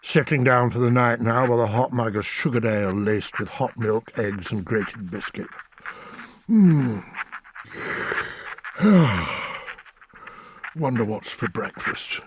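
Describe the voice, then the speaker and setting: A man's voice: mid-range voice, expressive, slow, pauses often, slight background noise. A man playing a gluttonous, self-satisfied Tudor king, reading his diary aloud and savouring every word. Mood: relishing, contented. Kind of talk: dramatised first-person diary reading.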